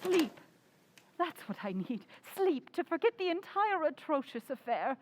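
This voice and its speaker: A woman's voice: sits high, big pitch swings, unhurried. A woman playing a haughty, domineering, indignant wife who scolds in an old-time radio drama.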